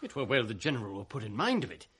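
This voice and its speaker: An earnest old-school official, husky voice, kinda high and lively, radio drama. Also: male voice, rapid-fire. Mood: concerned. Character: honourable male governor, later wounded. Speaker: actor. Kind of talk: 1980s radio drama acting.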